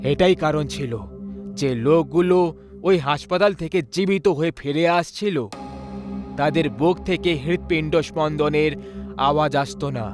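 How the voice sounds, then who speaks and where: A male storyteller narrates a scripted horror tale. His voice sits somewhat high for a man, and music plays clearly behind it.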